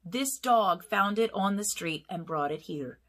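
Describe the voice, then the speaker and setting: A woman's voice: medium pitch, animated, measured pace. A woman voicing a friendly male police officer in a storybook who speaks kindly and matter-of-factly.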